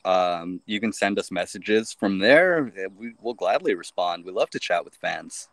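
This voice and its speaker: A man in a band, a guest on a podcast, answering in a relaxed, laid-back way. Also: low voice, measured pace, no room sound. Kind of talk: casual interview chat.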